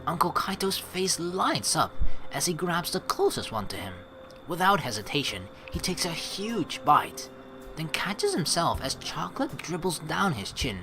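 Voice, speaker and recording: A man's voice: a little high, sing-song, a little slow, music in the background, rough recording. A man hosting a let's play, reading game text aloud and reacting casually and animatedly, with free swearing.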